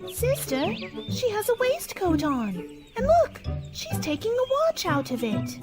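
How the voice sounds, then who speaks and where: A woman playing a curious, dreamy young girl in an old-time radio play, with wide-eyed, drawn-out delivery. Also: very high voice, wildly swooping pitch, over loud music, heavily acted. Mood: excited wonder.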